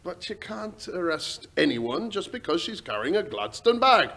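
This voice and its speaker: A man playing an anxious, folksy station watchman in a radio drama, with a gravelly voice and colloquial speech. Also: sits high, big pitch swings, moderate pace, sharp tone, heavily acted. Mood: doubtful. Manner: colloquial, worried, hesitant. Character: Mister Hogarth, anxious, folksy railway-station watchman, male. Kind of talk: old-time radio drama acting.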